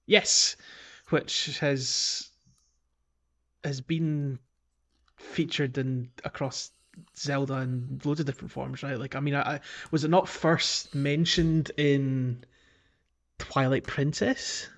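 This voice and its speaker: A man's voice: medium pitch, fairly even intonation, slow. A man co-hosting a podcast, chatting casually about a video game in a hesitant, rambling way. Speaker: podcast co-host.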